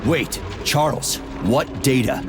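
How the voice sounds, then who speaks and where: A man playing a gruff, irritable, foul-mouthed Soviet army major in a video game, blunt and sardonic. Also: medium pitch, takes it slowly, loud music behind the voice, close to an everyday voice. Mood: alarmed, suspicious.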